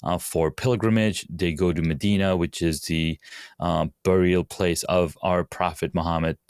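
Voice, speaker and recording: A man from Pakistan with a very low, smooth, resonant voice, speaking in a measured, earnest way as a podcast guest. The sound is dry and close, with little room in it. He talks in short phrases that restart now and then. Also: steady tone, a little mellow, no room sound. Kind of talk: casual interview answers.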